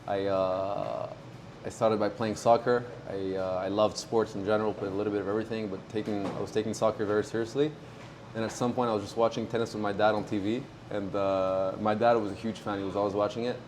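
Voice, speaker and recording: A man in his twenties from Morocco, a pro tennis player talking casually in an interview, relaxed and halting with many fillers. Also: on the low side, background noise. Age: 20s.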